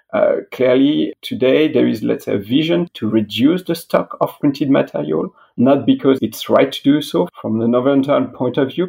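A middle-aged man with a French accent, a native French speaker, explaining his industry as an interviewed expert in a halting way peppered with filler phrases. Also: medium pitch, gravelly, mellow. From France. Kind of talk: interview, explanatory business talk.

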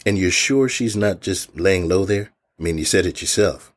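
An actor playing a calm, sceptical police chief with an informal, measured way of talking in an audio drama. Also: male voice, low voice, natural voice. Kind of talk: naturalistic audio drama acting.

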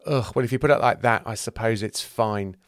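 A man's voice: mid-range voice, fairly quick, no echo, studio quality, natural voice. A man voicing a bluff, sardonic and muddled lead disciple who sounds exasperated and bumbling, in a comic scripture parody. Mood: dry.

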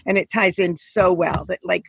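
A woman in her forties talking animatedly about a book in a casual discussion, with quick asides. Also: on the low side, little pitch movement, creaky, breathy edge. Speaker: book podcast co-host.

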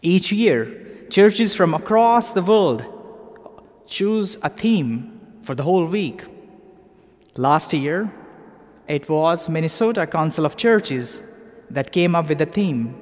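Slow-speaking Pakistani preacher in a reverberant church. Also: male voice, on the high side, animated, a little mellow. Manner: measured, deliberate phrasing in short groups. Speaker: preacher, clergyman. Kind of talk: sermon, evensong meditation. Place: large cathedral, speaking to a congregation. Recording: long cathedral reverb.

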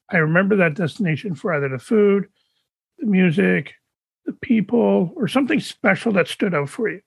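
A man hosting a casual chat, asking open questions in a measured way. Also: high-pitched, gravelly, a bit bright, husky, dry recording, low-quality recording.

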